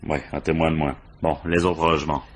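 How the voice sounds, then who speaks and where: An actor playing a shrewd, world-weary police commissioner in a French radio crime drama, with a dry, probing, ironic manner. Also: male voice, a bass voice, little pitch movement, brisk. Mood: brisk, weary.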